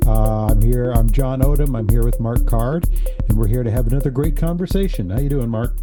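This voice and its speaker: A man hosting a casual talk show with a raspy, dried-out throat, leading the conversation in a friendly way. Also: mid-range voice, a little fast, loud music behind the voice. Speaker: podcast host. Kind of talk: casual chat.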